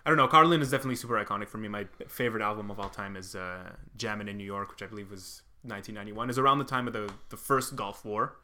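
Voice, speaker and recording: A man's voice: lowish voice, rapid-fire, hardly any pauses, pushed and bright. A man born in Lebanon, a comedian co-hosting a casual chat, rambling and swearing with self-deprecating jokes. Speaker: comedian and podcast co-host.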